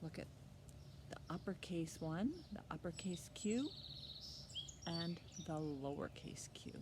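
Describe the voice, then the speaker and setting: A woman's voice: on the low side, slow, background noise. A woman presenting a children's storytime lesson, encouraging young listeners with simple step-by-step instructions.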